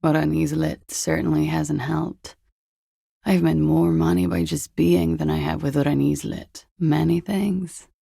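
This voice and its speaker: A young expatriate game character, cool and weary of the world. Her voice sits fairly low and is husky with a lot of gravel. She teases with dry irony, taking her time over each line. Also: fairly even intonation, unhurried, warm tone, no room sound, exaggerated voice. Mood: detached, melancholic. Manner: dry, languid, ironic. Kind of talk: video game character dialogue.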